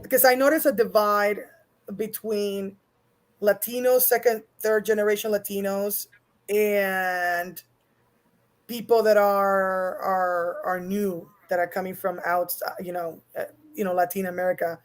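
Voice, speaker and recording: A woman's voice: mid-range voice, little pitch movement, a little slow. A woman from Puerto Rico with a Puerto Rican accent, a guest talking earnestly in long runs with restarts. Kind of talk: casual panel chat.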